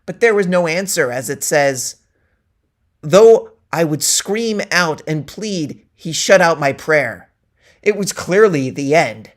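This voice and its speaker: A man giving a deliberate, theatrical dramatic reading of a satirical story, declaiming its quoted verses. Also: a little high. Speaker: reader.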